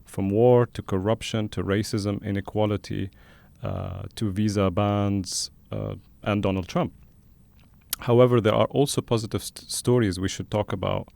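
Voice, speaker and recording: A man hosting a conversational interview, speaking in a thoughtful, halting way as he frames questions. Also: fairly low pitch, steady tone, creaky, darkish tone, no echo. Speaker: podcast host and interviewer.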